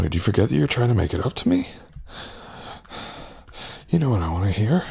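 A man's voice: low-pitched, gravelly, a bit bright, husky, heavily acted. A man playing a cold, domineering, cruel lover in a whispered adult audio roleplay, speaking close and taunting.

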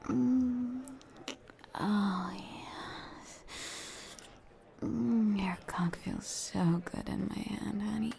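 Slow, gravelly whispering woman. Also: medium pitch. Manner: soft intimate whispering with murmured hums; coaxing, soothing, playful, with pet names. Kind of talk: whispered ASMR roleplay.

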